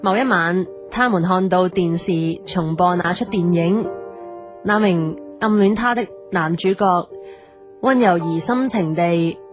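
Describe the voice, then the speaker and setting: A woman's voice: lowish voice, a little slow, soft background music, natural voice. An actress playing a young woman in an audio drama, with a Hong Kong Cantonese accent. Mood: gentle, reading aloud.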